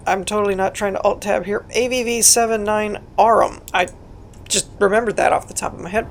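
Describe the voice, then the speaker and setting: A woman with a hoarse, raspy voice from a cold, giving casual, rambling gameplay walkthrough commentary as host of her channel, with frequent ums and self-deprecating jokes. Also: mid-range voice, a little hiss or hum.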